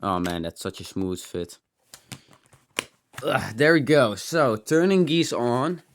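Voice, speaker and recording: A man's voice: medium pitch, expressive, slow, slight background noise. A man giving a casual, unscripted hands-on gadget demo, rambling and thinking aloud with some mild swearing. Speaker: host of a tech teardown channel.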